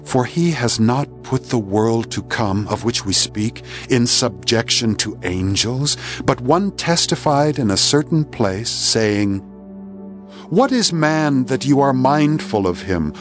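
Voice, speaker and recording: A man reads scripture from a script as a narrator, in a solemn, measured and deliberate manner. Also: mid-range voice, animated. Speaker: Bible narrator. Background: background music.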